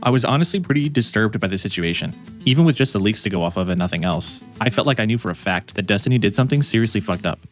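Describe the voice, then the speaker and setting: A man with a somewhat low voice tells his own story quickly in scripted first-person narration. His tone is reflective and a little conflicted. Soft guitar plays behind him. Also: animated. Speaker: video editor. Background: soft guitar music.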